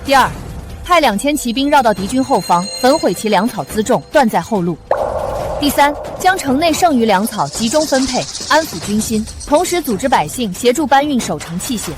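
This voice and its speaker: A woman's voice: fairly high pitch, animated, normal speed, close to an everyday voice. An actress playing a proud, resolute woman general in a Chinese costume drama. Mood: assured. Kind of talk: costume short-drama acting. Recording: loud background music over dialogue.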